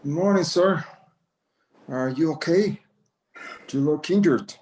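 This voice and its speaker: A man's voice: a little high, slow pace, a little mellow. A man playing a proud, courteous old Mexican-American rancher in a screenplay table read, speaking formally. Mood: concerned. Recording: echoey room.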